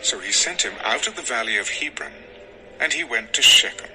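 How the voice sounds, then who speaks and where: A man's voice: medium pitch, expressive, brisk, pushed and bright, poor audio. A man narrating a dramatized Bible story as a solemn, scripted storyteller. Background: music.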